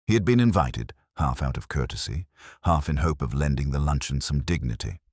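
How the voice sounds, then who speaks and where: A man narrating a scripted mystery story in a measured, formal way. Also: rumbling low, expressive, a little mellow, slightly rough, no room sound, studio quality.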